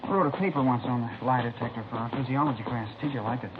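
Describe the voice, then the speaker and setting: An actor playing an eager, polite teenage student leader in an old radio drama, casual and respectful, on a tinny, noisy recording. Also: male voice, mid-range voice, rapid-fire, natural voice. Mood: eager, chatty. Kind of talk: 1950s radio drama acting.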